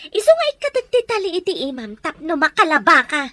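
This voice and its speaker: A woman's voice: very high-pitched, huge pitch swings, fast, sharp tone, dry recording, full character voice. A woman playing a bossy, cruel female captor in an audio drama, commanding and scornful with a Filipino accent. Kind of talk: Ilocano audio drama acting.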